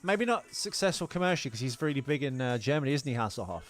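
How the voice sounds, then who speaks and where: Guy hosting a film podcast, mid pitch, dry jokey delivery, bit of music behind. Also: big pitch swings, fast. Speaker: podcast host. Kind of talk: casual film commentary.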